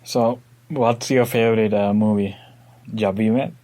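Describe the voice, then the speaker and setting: A man's voice: on the low side, steady tone, measured pace, dark tone. A young man hosting a casual interview, chatting informally and adding explanatory asides for listeners. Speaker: podcast host.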